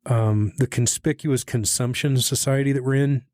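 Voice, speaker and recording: A man's voice: medium pitch, steady tone, normal speed, darkish tone, no echo, studio quality. An American man hosting an informal interview podcast, talking in a folksy, blunt, slangy way.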